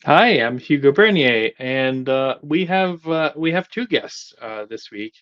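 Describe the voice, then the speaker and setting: A man's voice: medium pitch, lively intonation, unhurried, fairly bright tone, no echo. A man co-hosting a show, joking, with many filler "uh"s. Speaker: co-host. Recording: dry, dull lo-fi audio.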